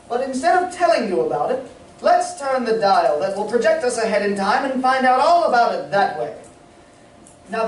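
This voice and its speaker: An old-time radio straight man and announcer, acted out by a stage performer, deliberate and theatrical. The voice carries from some distance in an echoey room, with faint noise. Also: mid-range voice, lightly acted. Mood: grand announcing. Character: Abbott, exasperated straight man and show announcer.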